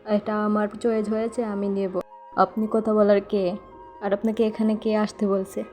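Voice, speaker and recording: A woman's voice: mid-range voice, little pitch movement, moderate pace, darkish tone, soft background music, close to an everyday voice. A woman voicing a stubborn but affectionate young man, a doting older brother, in plain scripted cartoon dialogue. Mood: annoyed.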